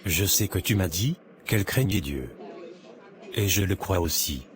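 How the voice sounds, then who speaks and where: A man's voice: sits low, animated, unhurried. A man voicing a devout, steadfast young suitor who speaks earnestly in a cartoon. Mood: troubled.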